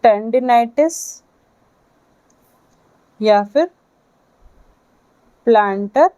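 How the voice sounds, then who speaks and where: A woman with an Indian accent giving a lecture to students, speaking in short halting phrases and checking in with her listeners. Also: a little high, expressive, mellow, lo-fi sound. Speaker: physiotherapy lecturer.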